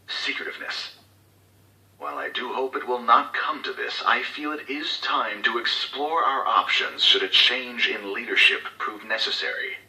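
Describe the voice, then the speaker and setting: Sharp, cold, scheming corporate executive. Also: male voice, medium pitch, echoey room, recorded from a distance, poor audio. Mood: grave, calculating. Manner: formal, clipped, authoritative, calculating. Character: cold, scheming male corporate executive issuing covert orders. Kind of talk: scripted in-game audio log.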